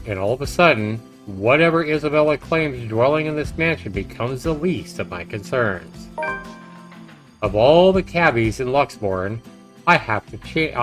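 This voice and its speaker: Male game streamer, medium pitch: reads story text aloud, slightly halting, chatty asides, light music bed. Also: fairly bright tone. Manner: halting read-aloud with stumbles and self-corrections. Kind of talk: reading a visual novel aloud with casual asides.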